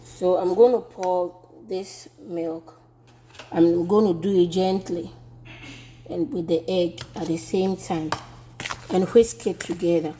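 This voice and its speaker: A Ghanaian woman talks through a home cooking demonstration in her kitchen. Her voice is low and mellow, and she speaks slowly, repeating phrases as she works. A faint hum sits behind her. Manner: relaxed, repeats herself while working.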